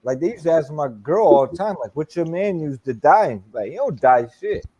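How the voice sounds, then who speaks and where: A man's voice: a little high, expressive, fairly quick, mellow, breathy edge, dull audio. Laid-back man in his forties. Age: 40s. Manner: loose, laughing storyteller, swears freely. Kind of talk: casual chat.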